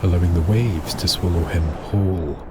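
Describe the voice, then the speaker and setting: A man narrating an eerie scripted tale in a solemn, measured, dramatic way with pauses. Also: deep voice, heavy background noise. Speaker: storyteller, narrator. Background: music.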